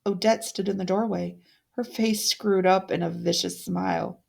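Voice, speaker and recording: A woman reading a fairytale aloud as a storyteller, with deliberate, dramatic expression. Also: medium pitch, steady tone, creaky, a little mellow, husky.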